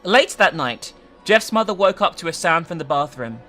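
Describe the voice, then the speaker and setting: A woman's voice: on the low side, animated, normal speed, sharp tone. A woman narrating and commenting in a dramatic but casual story-reading style. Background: faint music.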